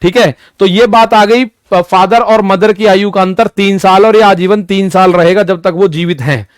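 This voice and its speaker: A young man in his twenties teaches an exam-prep maths class in a high, lifted voice. He talks directly to his students, repeats his key points and works through everyday examples. The sound is dry, with no room echo. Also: normal speed, clean tone. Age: 20s. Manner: repetitive, addresses students directly with "ठीक है" and "भाई".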